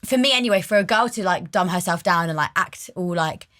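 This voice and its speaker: Fast-talking opinionated woman. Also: on the low side, fairly bright tone. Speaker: podcast guest. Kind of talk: casual chat.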